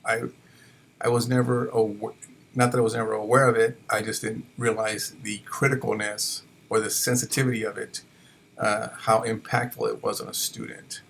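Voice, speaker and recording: A man's voice: lowish voice, little pitch movement, moderate pace, creaky, fairly bright tone, a little hiss or hum. A college faculty man reflecting on his teaching in a hesitant way, with many fillers and restarts. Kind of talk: reflective personal talk.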